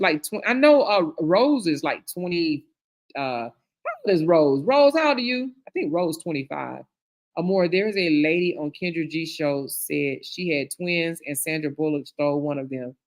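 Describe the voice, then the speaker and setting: A middle-aged woman hosting a live stream, reading viewer comments aloud and reacting in a chatty way, calling people girl, honey and child. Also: deep voice. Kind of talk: casual chat.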